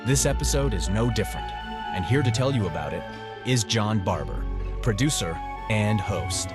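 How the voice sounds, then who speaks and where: Male radio announcer over clear music. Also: on the low side, lively intonation, moderate pace. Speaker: program announcer. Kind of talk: scripted radio introduction.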